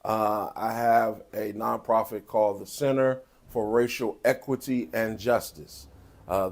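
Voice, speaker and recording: Low-voiced man, a pastor in interview. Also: darkish tone. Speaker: pastor and play producer. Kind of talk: post-show interview.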